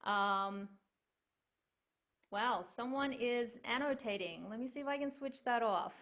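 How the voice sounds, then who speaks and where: A woman's voice: on the high side, unhurried, fairly bright tone. A young woman giving an online lecture as a cellist, chatty and earnest, with frequent ums, over a video call. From Australia. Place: home room on a video call.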